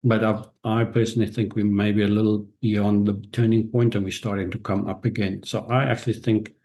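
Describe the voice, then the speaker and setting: A man's voice: fairly low pitch, little pitch movement, a little fast, mellow. A South African man, a native Afrikaans speaker, answering interview questions reflectively, with frequent restarts and hesitations. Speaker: guest, author and business strategist.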